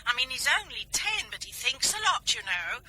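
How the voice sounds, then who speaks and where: A woman's voice: a little high, sing-song, moderate pace, bright, forceful tone, a little hiss or hum, full character voice. An actress playing an older, meddling mother in a radio drama, hesitant and nagging in a roundabout way. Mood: anxious, prying. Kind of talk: BBC radio drama acting.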